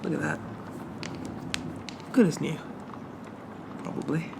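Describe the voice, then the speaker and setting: Man playing a shy, slow-talking, creaky-voiced zombie. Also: fairly high pitch, steady tone, background noise, exaggerated voice. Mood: gentle, polite. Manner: hesitant, stammering, gently formal and self-effacing. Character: shy, polite, stitched-together undead zombie man. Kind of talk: ASMR roleplay, soft and intimate.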